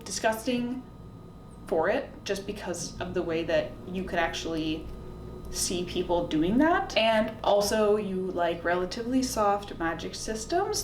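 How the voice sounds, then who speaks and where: A woman talking casually and informally to camera, giving opinionated recommendations in a chatty way. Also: darkish tone. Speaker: book reviewer, video host. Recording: roomy echo, faint background noise and rumble.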